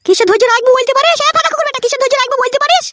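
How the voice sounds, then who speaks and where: A woman's voice: extremely high pitch, very fast, very noisy. A woman voicing a betrayed, scolding village wife in a comedy cartoon, with a rural West Bengal accent, ranting and wailing. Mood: hurt, indignant. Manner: nagging, cursing, wailing rants. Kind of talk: comedy cartoon dub, melodramatic quarrelling.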